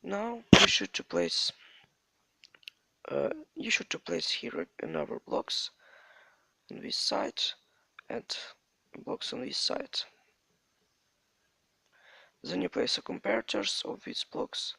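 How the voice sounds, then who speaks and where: A Ukrainian-accented man with a native Ukrainian speaker's halting English, walking through a game tutorial. His voice is heavily gravelly and fried, bright and sharp in tone, and swings widely in pitch. He speaks slowly, in a dry, close sound. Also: big pitch swings, dry recording. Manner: halting non-native English, repeats stock phrases. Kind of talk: step-by-step gameplay tutorial narration.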